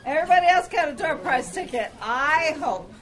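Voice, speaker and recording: A woman's voice: high-pitched, animated, moderate pace. A woman presenting a door prize informally to a group at a restaurant luncheon, practical and playful in her delivery. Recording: clear crowd chatter behind.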